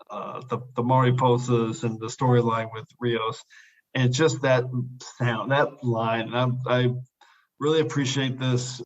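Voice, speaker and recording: Enthusiastic male podcast host, slightly muffled. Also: medium pitch. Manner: enthusiastic, repeats praise words. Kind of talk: casual podcast discussion.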